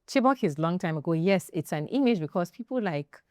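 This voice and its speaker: A Nigerian woman hosting a television panel discussion, telling stories in an animated way. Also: lowish voice, a little fast, no room sound, studio quality. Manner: animated, acts out quoted voices. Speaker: talk show host. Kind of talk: panel discussion, lively storytelling. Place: television studio.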